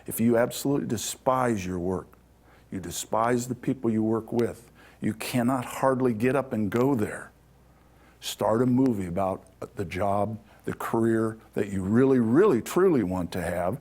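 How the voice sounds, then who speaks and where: Low-voiced man giving a casual lecture. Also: moderate pace, a little mellow. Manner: conversational, adds checks like "okay?" to his points. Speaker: lecturer on ancient Egyptian spirituality. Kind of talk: informal public lecture with Q&A. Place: lecture hall before an audience.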